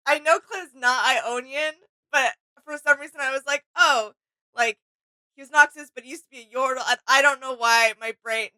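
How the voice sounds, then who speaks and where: A woman's voice: high-pitched, normal speed, bright, forceful tone, rough recording. A woman hosting a reaction video, chatting casually and off the cuff in a giggly, self-mocking way.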